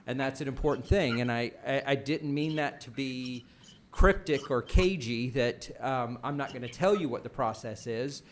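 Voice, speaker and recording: A man with a mid-range voice talks through policy in a formal meeting. He is measured and bureaucratic, often restarting his phrases as he works out his wording. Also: medium pitch. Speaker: official presenting a policy at a commission meeting. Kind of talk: formal meeting discussion, unscripted.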